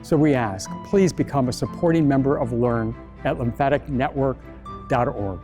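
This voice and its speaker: A man, head of a nonprofit, reading a brisk scripted introduction and asking listeners to become members. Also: medium pitch. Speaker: nonprofit president introducing the event. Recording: distant voice over music.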